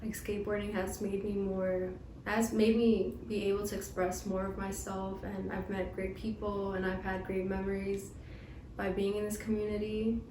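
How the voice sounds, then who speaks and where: A nineteen-year-old woman reflecting on skating in a calm, even way. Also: medium pitch, moderate pace, far from the mic. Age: young adult. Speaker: skater. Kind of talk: casual interview answers. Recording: echoey room with clear background noise.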